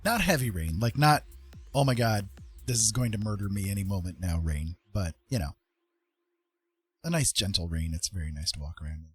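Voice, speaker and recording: A man's voice: low voice, animated, normal speed, husky, faint music behind. A man hosting a casual art livestream, chatting in a rambling, folksy way. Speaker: livestream host and artist. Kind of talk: casual livestream chat while making art.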